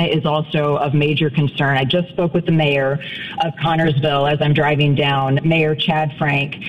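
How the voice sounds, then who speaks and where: A woman news anchor calling in a live report by phone while on the road, urgent and informative. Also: low-pitched. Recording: muffled phone line with faint noise.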